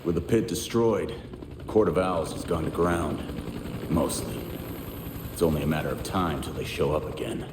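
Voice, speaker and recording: A man's voice: rumbling low, moderate pace. Grim male vigilante, video game narration: bottom-register voice, clipped and determined, long reverberant tail. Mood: grim, wry. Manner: terse, clipped phrases, grim. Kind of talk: dramatic scripted game narration. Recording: echoey hall sound with faint noise.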